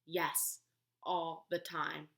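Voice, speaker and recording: A woman voicing a kindly old male storyteller who speaks slowly and gravely, in an echoey room. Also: low voice, level tone, fairly bright tone, pitched below the performer's own voice, heavily acted. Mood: gentle.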